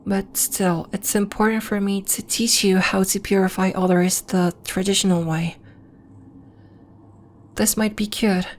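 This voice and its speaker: Woman acting as gentle alien moon healer, soft-spoken. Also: medium pitch, close to an everyday voice. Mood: explaining. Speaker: ASMR roleplay voice actor. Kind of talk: ASMR roleplay, scripted character acting.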